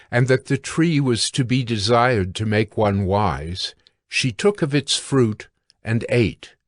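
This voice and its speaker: A man narrating scripture from a script in a measured, solemn way. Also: mid-range voice, no room sound, studio quality.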